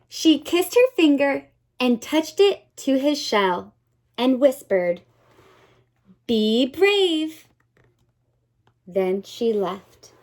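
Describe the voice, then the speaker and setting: A woman reads a children's story as a teacher would, in a high voice that moves up and down a great deal. She takes it very slowly, with dramatic pauses. Now and then she turns to her young listeners with an expressive aside. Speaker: teacher reading a picture book to children.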